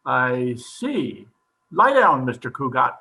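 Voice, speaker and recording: A man playing an eccentric, absent-minded psychiatrist, halting and pompous, in old-time radio comedy style. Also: mid-range voice, very animated, bright, forceful tone, exaggerated voice. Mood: smooth, insistent.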